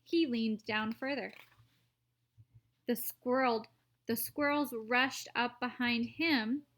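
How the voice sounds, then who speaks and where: A woman reading a children's story aloud in an expressive, theatrical narrating style. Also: a little high, slow pace.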